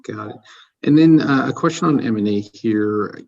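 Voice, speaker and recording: A man's voice: medium pitch, normal speed, vocal fry, a little mellow, muffled recording. A man asking questions as a financial analyst on an earnings call, sounding distant from the microphone. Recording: distant from the mic.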